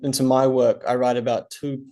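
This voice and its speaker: Gravelly, hesitant man writer. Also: mid-range voice, little pitch movement, moderate pace, dark tone. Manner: hesitant, many ums and restarts. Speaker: panelist writer. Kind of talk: panel discussion answer.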